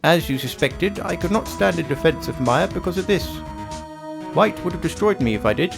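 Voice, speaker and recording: A man's voice: on the high side, expressive, normal speed, creaky, music in the background. An actor playing a troubled, blustery old attorney in a video game, with an old-fashioned, grandfatherly way of talking. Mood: grave, resigned. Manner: blustering, old-fashioned, stammering when flustered. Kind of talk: dramatic video game character acting.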